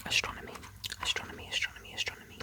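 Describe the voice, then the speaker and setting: A woman whispering a book of facts aloud for ASMR, slowly repeating words, with chatty, lightly sarcastic asides and a sniffly nose from a cold or hay fever. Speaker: ASMR creator.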